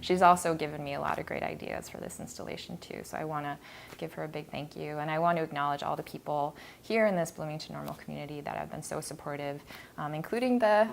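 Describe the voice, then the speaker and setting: An American-born woman artist talking thoughtfully about her installation in a gallery, with many ums and pauses. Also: low-pitched, gravelly. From United States. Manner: hesitant, many ums and uhs, reflective. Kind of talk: artist talk at an exhibition. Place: art gallery.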